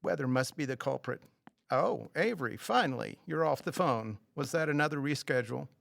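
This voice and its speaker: A man's voice: medium pitch, expressive, a little fast, creaky, fairly bright tone. An actor playing a hearty, fussy middle-aged tour guide and father in an audio drama, genial and a little formal. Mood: disappointed.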